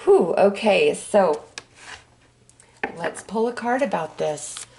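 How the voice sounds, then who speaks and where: A woman with a hoarse voice from a cold, giving a casual, upbeat astrology forecast while pulling tarot cards. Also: mid-range voice, lively intonation, slow, noisy background. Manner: breezy and upbeat, with sighs and fillers. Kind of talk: casual spiritual forecast with card pulls.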